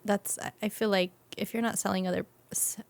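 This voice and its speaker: A woman's voice: mid-range voice, steady tone, brisk, vocal fry. An American woman talking casually and informally as a guest in a conversational interview. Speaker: podcast guest, actress and creator.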